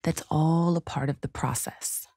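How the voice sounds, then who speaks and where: A woman leading a guided meditation in a calm, gentle, soothing and measured way. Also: deep voice, creaky, husky, dry recording.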